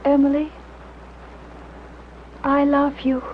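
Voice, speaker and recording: An actress playing a young librarian, frightened and shaken, speaking slowly and anxiously. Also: female voice, high voice, monotone, slight background noise. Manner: slow, anxious. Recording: dull old film sound.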